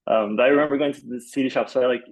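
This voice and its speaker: A man's voice: medium pitch, fairly even intonation, rapid-fire. A man from Malaysia telling stories casually as an interview guest, in a rambling, hesitant way full of filler phrases. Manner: rambling, hesitant, peppered with "like" and "you know". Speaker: podcast guest, film composer.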